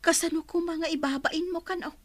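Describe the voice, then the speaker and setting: A woman with an Ilocano accent playing a gentle, teasing older mother in a radio drama, speaking affectionately. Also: extremely high pitch, steady tone, fairly quick, no room sound. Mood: gently concerned.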